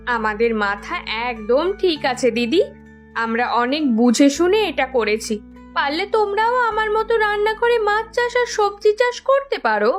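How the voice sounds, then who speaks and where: Woman acting young village wife, cartoon, high voice, insistent, defiant, stubborn. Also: lively intonation, a little slow, faint music behind. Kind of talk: Bengali village cartoon dubbing.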